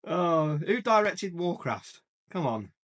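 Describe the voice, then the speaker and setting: A man's voice: on the high side, a bit bright, slightly rough. A man co-hosting a casual, chatty discussion about films and games, often thinking aloud. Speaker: podcast co-host.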